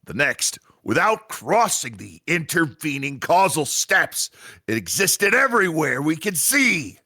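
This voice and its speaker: A man playing a grave commanding agent in a sci-fi radio drama, briefing with deliberate, dramatic emphasis and pauses. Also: fairly high pitch, big pitch swings, pushed and bright, no room sound, clean studio sound, heavily acted. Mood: ominous, hushed. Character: grave male commanding agent of a multiverse agency.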